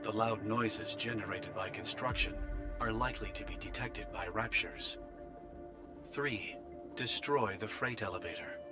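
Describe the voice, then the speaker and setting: A solemn military official in a game cutscene, voiced by a man, speaks in a low register. He is measured, formal and diplomatic, as if reading out grave orders, with music clearly playing behind him. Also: close to an everyday voice. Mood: grave, businesslike. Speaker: official voice of the Ark leaving a recorded message. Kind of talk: formal scripted military briefing.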